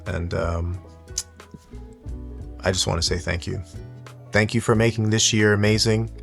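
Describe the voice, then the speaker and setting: Low, monotone man with gravelly fry. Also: soft background music. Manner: monotone, unhurried, low-key. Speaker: YouTube watch collector and content creator. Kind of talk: casual reflective solo vlog talk.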